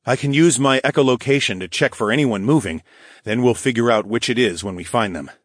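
A man's voice: medium pitch, a little fast, no room sound, studio quality, pitched above the performer's own voice, natural voice. A man playing a decisive fantasy lord and healer in an audiobook, speaking in a direct, informal, commanding way.

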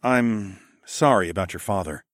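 A man's voice: low-pitched, normal speed, dry recording, clean studio sound, lightly acted. Man playing an easygoing, wry adventurer husband. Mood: sympathetic. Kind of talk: casual audiobook character dialogue.

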